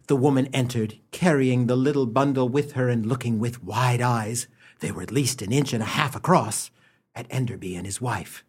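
Man as an arch, husky radio storyteller. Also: mid-range voice, normal speed, close to an everyday voice. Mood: teasing. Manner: mock-dramatic, teasing, addresses listener. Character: arch, teasing storyteller of a mock melodrama. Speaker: narrator. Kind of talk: dramatic scripted narration for radio.

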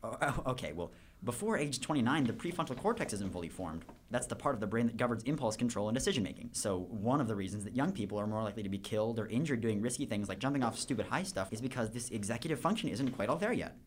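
A man playing a brainy homeschooled teenage boy in a radio play. He talks very fast in a mid-range voice. He is earnest and pedantic, explaining everything like a lecture. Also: mid-range voice, expressive, a little hiss or hum, lightly acted. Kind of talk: youth radio drama acting.

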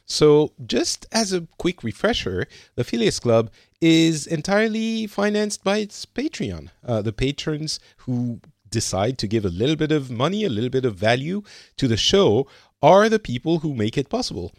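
A man's voice: a little high, big pitch swings, normal speed, no room sound, clean studio sound. A man from France hosting a podcast in casual chat, rambling with many fillers and restarts. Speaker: podcast host.

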